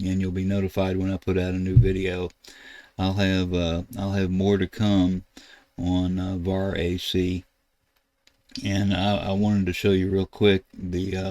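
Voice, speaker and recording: Older guy doing a rambly screen tutorial, lowish monotone, dry close sound. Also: no room sound. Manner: halting, rambling explanation. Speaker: ham radio video host. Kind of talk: casual screen-recorded tutorial. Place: indoors at a computer desk.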